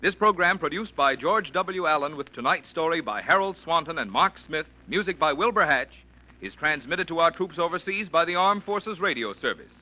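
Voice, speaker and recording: A man's voice: expressive, moderate pace, bright, forceful tone, low-quality recording. A man giving a polished, persuasive radio sponsor announcement. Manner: polished, persuasive pitchman delivery.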